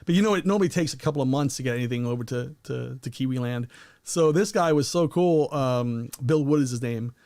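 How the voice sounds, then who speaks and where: A man's voice: mid-range voice, a little fast, a little mellow, no echo, studio quality. A man in his fifties from Colombia, a native Spanish speaker, chatting casually as a guest, laughing and rambling a lot. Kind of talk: casual chat.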